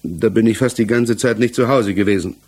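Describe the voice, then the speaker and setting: Low, husky, guarded accused man. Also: darkish tone. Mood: evasive, uneasy. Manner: halting, short guarded answers. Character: male accused villager under interrogation, subdued, evasive, uneasy. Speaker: actor in a radio crime drama. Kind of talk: 1960s German radio drama acting.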